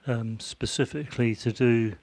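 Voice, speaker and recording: A man's voice: medium pitch, steady tone, moderate pace, a little mellow. A man speaking up from the audience at a community meeting, hesitant with many fillers. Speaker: audience member, volunteer who built the website. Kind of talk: meeting discussion.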